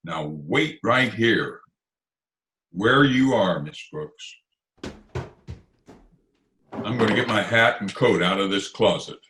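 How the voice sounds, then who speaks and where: A man's voice: on the low side, lively intonation, takes it slowly, pauses often, bright, forceful tone, full character voice. A man playing a pompous, easily angered middle-aged school principal in old-time radio comedy style, with theatrical, elaborate phrasing. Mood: stern. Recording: remote home recording over video call.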